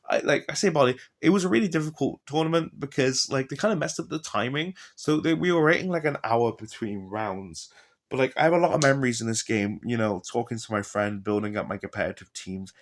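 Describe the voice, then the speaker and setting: Rambling, excited young man. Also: mid-range voice. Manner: rambling and enthusiastic, full of fillers and asides. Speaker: gaming vlogger. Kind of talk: casual monologue about games.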